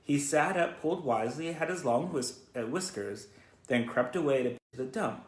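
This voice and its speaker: A man reads a children's storybook aloud in a roomy, echoing space. His pitch moves in a lively way, and his delivery halts now and then as he repeats words. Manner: halting, stumbles and repeats words. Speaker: school educator reading to students. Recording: roomy, echoey sound.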